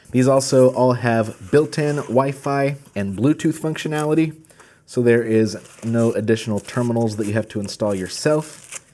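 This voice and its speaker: A man's voice: mid-range voice, a little mellow. A man hosting a product video, walking through gear in a casual presenting style. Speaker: host of a product channel.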